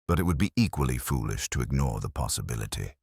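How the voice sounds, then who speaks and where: A man playing a deep, mild, patient inspector. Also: expressive, a little mellow, breathy edge, dry recording, full character voice. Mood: cautious. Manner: measured, dry, formal understatement. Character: mild, patient, shrewd male police inspector.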